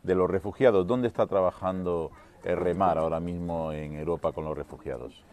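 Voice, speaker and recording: A man's voice: deep voice, steady tone, brisk, background noise. A man working as a TV reporter, introducing a report and asking interviewees short, direct questions. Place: volunteer dining hall at a refugee camp.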